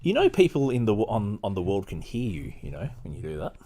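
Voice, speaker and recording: Animated man, slightly husky, lower-pitched. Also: fast. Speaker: podcast host. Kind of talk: casual livestream chat and reading a book aloud.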